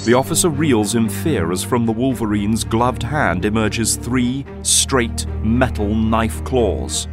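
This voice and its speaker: A man's voice: on the low side, slow, hardly any pauses, over music. A man giving dramatic scripted narration as the narrator of a story.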